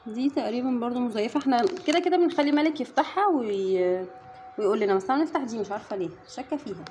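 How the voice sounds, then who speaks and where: Fast-talking Egyptian mom vlogger. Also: female voice, fairly high pitch, expressive, a little mellow, slight background noise. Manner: chatty, addresses viewers directly. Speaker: mother and family vlogger. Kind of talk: casual unboxing chat to viewers.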